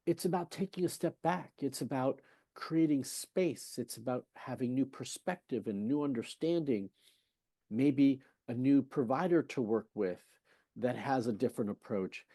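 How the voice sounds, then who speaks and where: A man's voice: mid-range voice, warm tone, no echo. A man speaking as a fertility specialist in a podcast interview, emphatic and persuasive, asking rhetorical questions and retelling talks with patients. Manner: conversational, retells patient exchanges.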